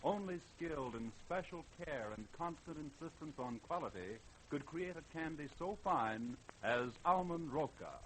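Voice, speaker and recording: An American male announcer reading an old-time radio commercial. His fairly high voice is polished and emphatic, on a slightly noisy old recording. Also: animated, normal speed. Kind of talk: 1930s-40s radio advertisement read.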